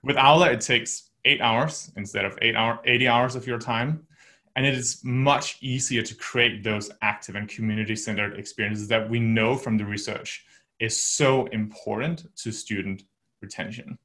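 A man's voice: mid-range voice, moderate pace, pushed and bright. A man from Denmark, a company founder, giving earnest, explanatory answers as a podcast guest. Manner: earnest, explanatory, some restarts.

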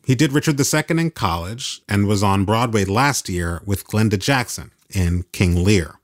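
A man's voice: fairly low pitch, animated, moderate pace, dry recording, clean studio sound. A man hosting and narrating a documentary in scripted narration. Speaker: host and narrator.

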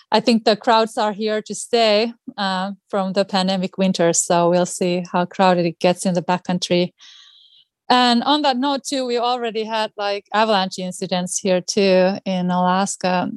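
A woman's voice: medium pitch, fairly even intonation, a little slow, no echo. Finnish-accented woman, dry close recording. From Finland. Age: middle-aged. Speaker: podcast guest, outdoor educator. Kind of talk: casual interview chat.

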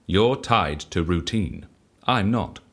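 A man playing an eccentric, self-assured devotee of chance in an audio drama, asking clipped, probing questions and speaking with calm conviction. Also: deep voice, takes it slowly, full character voice. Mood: coolly boastful.

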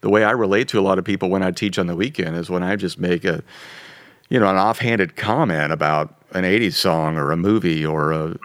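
A man in his fifties, a pastor, talking in a relaxed, joking, self-deprecating way. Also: low-pitched, a little fast, dry recording, clean studio sound. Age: 50s. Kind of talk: casual podcast conversation.

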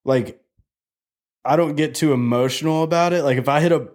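A man's voice: on the high side, brisk, a little mellow. Laid-back twenties guy telling a story. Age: 20s. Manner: loose, storytelling, swears freely, laughs at himself. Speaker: podcast host. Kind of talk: casual chat.

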